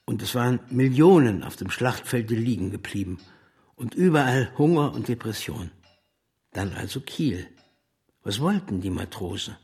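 A man's voice: medium pitch, animated, moderate pace, a little mellow, husky. An actor playing an embattled male socialist revolutionary in a German radio drama, arguing in an impassioned, rhetorical way. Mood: earnest, troubled. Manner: rhetorical, declamatory.

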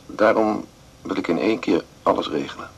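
An actor playing a tender, melancholy man speaking a halting, reflective taped message in a radio drama. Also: sits low, creaky, a little hiss or hum, rough recording. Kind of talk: naturalistic 1980s radio drama monologue.

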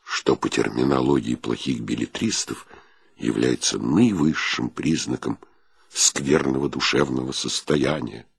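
An actor narrating a comic literary tale as the storyteller of a radio play. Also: male voice, very deep voice, fairly even intonation, measured pace, gravelly, slightly rough, no room sound, exaggerated voice. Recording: brass music behind the voice.

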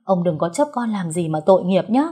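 A woman's voice: on the low side, animated, brisk, warm tone, slightly rough. A woman voicing a brash, cheeky young female fortune-teller who talks boastfully and colloquially in an audiobook story. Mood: scared, wheedling.

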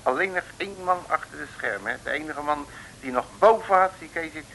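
A folksy, boastful male detective in a vintage radio serial. His voice sits a little high, with a forceful edge and plenty of gravel, and the old recording hisses faintly. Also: brisk, rough recording. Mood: boastful, cocky. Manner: colloquial, boastful, cheeky backtalk. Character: cocky, folksy male Dutch street detective.

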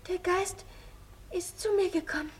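Very high-voiced entranced young girl. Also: steady tone. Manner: hushed, halting, trance-like questioning and repeating. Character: young girl medium, Betty, relaying a ghost's messages. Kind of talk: 1950s German radio play acting. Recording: faint noise, 1950s radio studio recording.

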